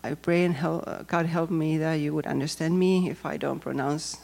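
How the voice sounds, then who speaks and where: A woman from Finland with a Finnish accent, speaking English as a second language and giving a personal testimony in a careful, hesitant way with frequent pauses. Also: deep voice, fairly even intonation, creaky, a little mellow. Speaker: church member giving testimony.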